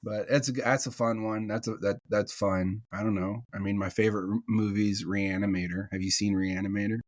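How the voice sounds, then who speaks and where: Deadpan, low-voiced male guest. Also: brisk, dry recording. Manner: dry, deadpan humor. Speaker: podcast guest, ordination candidate. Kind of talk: casual podcast conversation.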